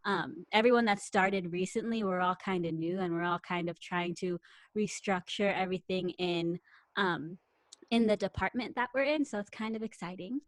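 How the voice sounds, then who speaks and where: A woman's voice: fairly low pitch, normal speed, no echo. A woman in her twenties with an American accent, chatting casually in an upbeat way. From US, San Francisco Bay Area. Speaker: podcast guest, podcaster. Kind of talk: casual chat.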